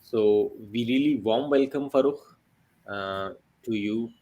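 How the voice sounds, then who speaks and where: A man hosting an interview podcast, introducing and questioning his guest in halting, broken phrases. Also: mid-range voice, mellow, muffled recording. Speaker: podcast host.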